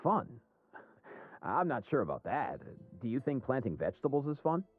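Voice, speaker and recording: A man's voice: mid-range voice, big pitch swings, moderate pace, phone-quality sound. An actor playing an easygoing, teasing, practical male friend in a video game, casual and wry. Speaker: game character. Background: music.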